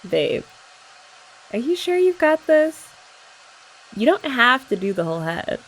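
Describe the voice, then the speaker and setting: A woman's voice: on the high side, very animated, measured pace, fairly bright tone, low-quality recording. A young woman doing a chatty ASMR girlfriend roleplay, explaining each step and teasing her listener. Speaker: ASMR creator.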